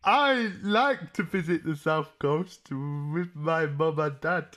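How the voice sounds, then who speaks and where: Bright-voiced young British man, streaming. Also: on the high side, slow. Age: 20s. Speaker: live streamer making prank calls. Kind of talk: casual livestream chat. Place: small indoor room. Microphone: desk microphone close up.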